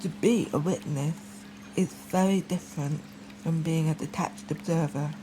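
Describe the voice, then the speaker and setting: A woman poet speaking reflective lines in short, measured, deliberate fragments for an audio documentary. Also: low-pitched, steady tone, background noise. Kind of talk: poetic spoken reflection in a documentary audio piece.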